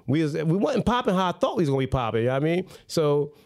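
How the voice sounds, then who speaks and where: A man telling stories in casual chat, animated and laughing, acting out what people said with big jumps in pitch. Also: very fast. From New Jersey.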